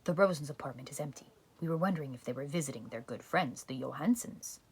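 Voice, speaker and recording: A woman voicing a menacing male Nazi officer in a children's puppet show, husky, curt and accusing. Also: sits low, fast talker, darkish tone, voice pushed down, full character voice. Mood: suspicious, demanding. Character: menacing, interrogating Nazi officer, adult man.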